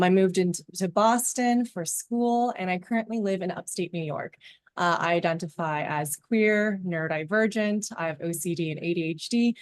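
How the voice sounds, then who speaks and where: A woman from Los Angeles speaking as a dietitian on an online panel, bright and enthusiastic. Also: lowish voice. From Los Angeles, California. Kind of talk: panel discussion on video call. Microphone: video call.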